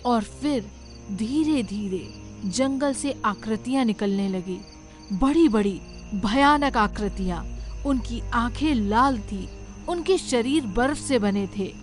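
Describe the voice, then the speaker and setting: Slow, high-voiced woman story narrator. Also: animated, soft background music. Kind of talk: scripted dramatic story narration.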